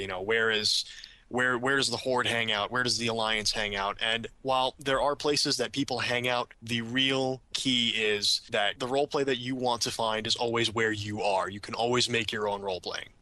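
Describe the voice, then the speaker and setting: Man, podcast panelist, crisp bright tone, dry close sound, conversational, emphatic. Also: mid-range voice, steady tone, a little fast, no room sound, poor audio. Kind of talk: casual advice in a discussion.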